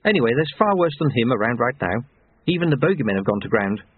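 A man's voice: medium pitch, sing-song, quick pace, husky, dry recording. A man voicing a stern, commanding young woman with formal, precise, withering speech in an audiobook. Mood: brisk, dry. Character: stern, brisk, slightly built young woman, severe and commanding. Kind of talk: audiobook character voice. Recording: narrow tinny phone-like sound.

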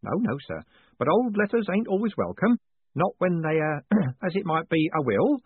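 A man's voice: a little high, sing-song, normal speed, slightly rough, dry recording, pitched above the performer's own voice. A man voicing an old male postal worker who is deferential, hesitant and gossipy, saying sir at every turn. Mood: confiding. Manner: folksy, hesitant, says sir constantly. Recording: tinny phone-like sound, dry.

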